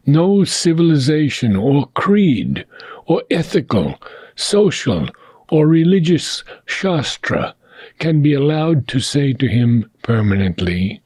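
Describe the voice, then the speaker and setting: A man reading philosophical prose aloud in a solemn, measured way, with deliberate phrasing and frequent pauses. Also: mid-range voice, a little mellow, no room sound. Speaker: reader of a philosophical book.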